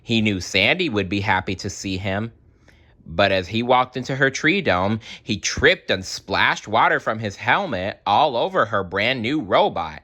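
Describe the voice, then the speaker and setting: Deep, animated storyteller man. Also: measured pace. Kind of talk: expressive children's story read-aloud with wry asides.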